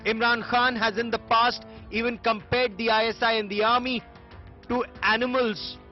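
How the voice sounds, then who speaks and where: A man's voice: extremely high pitch, little pitch movement, moderate pace. A man with an Indian English accent reading a scripted news report as a voice-over. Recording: dull audio over music bed. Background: background music.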